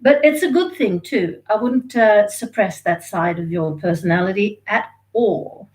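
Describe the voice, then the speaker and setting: A woman's voice: medium pitch, measured pace, darkish tone. A woman, from Sweden and Australia, giving a chatty, rambling live tarot reading and laughing easily. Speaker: tarot reader.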